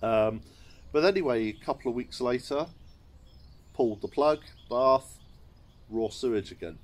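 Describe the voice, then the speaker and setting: A man's voice: medium pitch, lively intonation, measured pace. A middle-aged English man, a chateau owner, telling a wry, understated story to camera while seated in a sunny garden courtyard. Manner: wry, understated, chuckling at his own mishaps.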